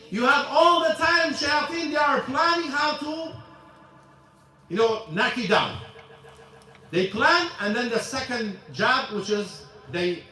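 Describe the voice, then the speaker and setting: A man's voice: very high-pitched, pushed and bright, a little hiss or hum. A man teaching a casual religious class in a mosque, in broken English, often repeating himself. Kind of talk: informal religious lesson. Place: mosque hall. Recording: echoey mosque hall, mic from far off.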